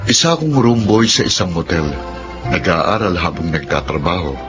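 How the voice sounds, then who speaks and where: Deep-voiced, earnest young Filipino student. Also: male voice, lively intonation, normal speed. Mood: earnest, gentle. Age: young adult. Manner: polite, earnest. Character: earnest, principled young male working student. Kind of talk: radio drama acting. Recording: muffled radio drama, music bed underneath.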